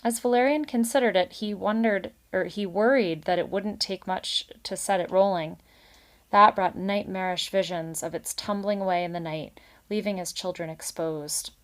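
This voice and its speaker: A woman's voice: medium pitch, moderate pace. A woman reading a children's book aloud as a storyteller and commenting on it casually.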